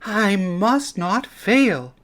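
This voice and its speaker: Tiny adventurous girl snail for a picture book, performed by a man: very high, slow, earnest and eager. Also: expressive, a bit bright, heavily acted. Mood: determined. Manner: earnest, drawn-out exclamations.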